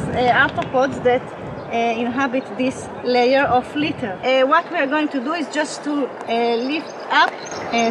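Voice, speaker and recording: A woman's voice: high voice, noisy background. A woman explaining field monitoring outdoors, hesitating with many fillers. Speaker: field educator.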